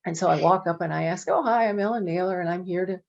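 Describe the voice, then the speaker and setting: A woman's voice: on the low side, fast, creaky. A middle-aged woman telling casual stories on a panel from her home office, chatty, with many fillers. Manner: chatty, animated, many "you know" fillers. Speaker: panel presenter. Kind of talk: casual anecdotal storytelling. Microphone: video call. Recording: dull lo-fi video call audio.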